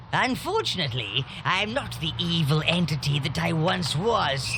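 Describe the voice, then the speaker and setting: Slow creaky old goblin minion for a fantasy game, sly and grovelling, pitch all over the place. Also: male voice, full character voice. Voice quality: gravelly, creaky. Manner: theatrical, obsequious, disdainful. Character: scheming, fawning old goblin-like minion advisor serving his evil master. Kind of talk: video game character acting. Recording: music behind the voice.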